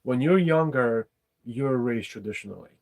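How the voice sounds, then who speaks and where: A man's voice: mid-range voice, lively intonation, moderate pace, gravelly. A man hosting an unscripted live chat, talking frankly and rambling in English, which is not his first language. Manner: rambling, frank, swears casually. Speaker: livestream host.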